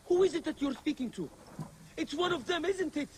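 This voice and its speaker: A man's voice: very high voice, lively intonation, a little fast, a little hiss or hum. A man playing a cowardly, indignant villager in a video game, jittery and blustering. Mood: panicked. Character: panicky, cowardly, indignant villager hiding away. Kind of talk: video game cutscene acting.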